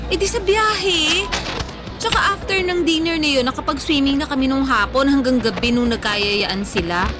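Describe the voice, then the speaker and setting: Radio drama friend, female, thirty: very high voice from an actress, touchy and defensive, chatty delivery over music. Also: normal speed, natural voice. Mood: defensive, insistent. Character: hungry, touchy, forgetful thirty-year-old woman friend. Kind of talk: Tagalog radio drama dialogue. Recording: music bed under the voice.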